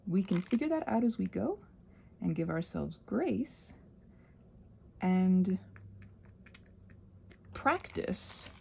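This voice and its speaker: Animated woman rambling through a craft tutorial. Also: mid-range voice, slow pace, vocal fry, pauses often, slight background noise. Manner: chatty, self-interrupting, thinks aloud, playfully self-soothing. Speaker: craft and ASMR channel creator, host.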